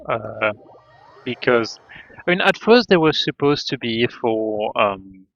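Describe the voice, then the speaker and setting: A man's voice: mid-range voice, animated, slow pace, creaky, fairly bright tone, highs cut off. A man talking casually as a game livestreamer.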